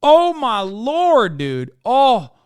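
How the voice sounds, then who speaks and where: A young man streaming casual, slangy reaction commentary, animated and full of swearing. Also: very high-pitched, very slow, clean tone, no room sound, studio quality.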